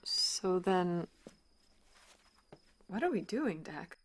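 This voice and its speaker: Casting: soft-spoken woman doctor in audio drama, lowish register, gentle, questioning, naturalistic. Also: big pitch swings, very slow, exaggerated voice. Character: gentle, probing female children's doctor.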